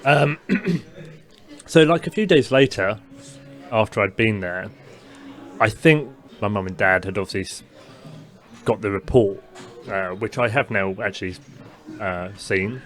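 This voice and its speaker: A young man with a mild stutter who co-hosts a podcast, telling a personal story in casual chat with many fillers and halting restarts. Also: lowish voice, a bit bright, soft background music. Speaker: podcast co-host.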